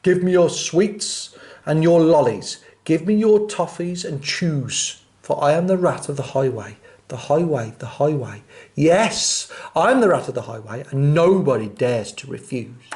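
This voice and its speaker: Man acting out a booming, greedy rat highwayman. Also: animated, a little slow, darkish tone. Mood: bellowing, contemptuous. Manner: booming, imperious, scornful demands.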